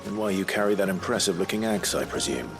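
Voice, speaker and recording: An actor playing a stern, resolute warrior hero with a husky rasp and a formal, deliberate delivery in a fantasy game. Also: male voice, sits low, expressive, music in the background. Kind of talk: dramatic fantasy game dialogue.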